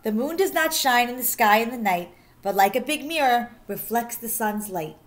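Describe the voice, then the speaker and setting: A woman with a somewhat high voice reads a children's picture book aloud as a teacher would for her class. Her delivery is animated and expressive, following the bounce of the rhymes and leaning on the important words. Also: moderate pace. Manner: animated, sing-song delivery of rhyming verse, stressing key words. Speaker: teacher reading aloud to pupils.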